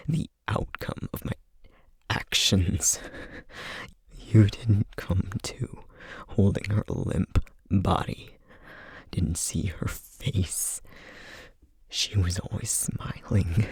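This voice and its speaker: Brooding male essence eater for ASMR roleplay, played by a man: low, gravelly, terse, bitter, menacing. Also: a little mellow, no echo, heavily acted. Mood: angry. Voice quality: breathy, gravelly near-whisper. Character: brooding, guilt-ridden male essence eater, a vampire-like monster. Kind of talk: ASMR roleplay audio drama acting.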